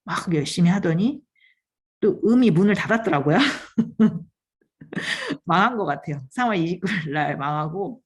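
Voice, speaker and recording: A woman's voice: fairly low pitch, expressive, moderate pace, husky. A woman hosting her own channel, talking casually about podcasting, chatty, laughing often and trailing off mid-phrase. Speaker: channel host giving advice on podcasting. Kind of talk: casual talk to camera.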